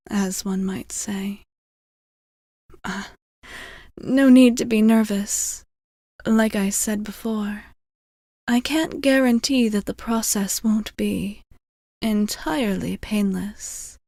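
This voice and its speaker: Slow, soft-spoken vampire woman, acted out by a woman. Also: mid-range voice, dry recording, clean studio sound. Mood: tender, welcoming, then soothing, reassuring. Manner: slow, soft, soothing, deliberate, often dropping into whispers. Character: gentle, caring centuries-old female vampire bartender. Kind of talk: ASMR roleplay spoken to the listener. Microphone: close microphone, very dry.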